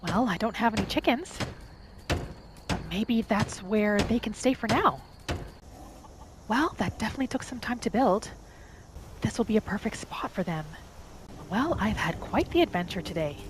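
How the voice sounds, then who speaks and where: A woman narrating her game roleplay in character, with a gentle, musing manner as if talking to herself and her pets. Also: medium pitch, expressive, normal speed, long pauses, noisy background. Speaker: roleplay gaming host. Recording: music behind the voice. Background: background music.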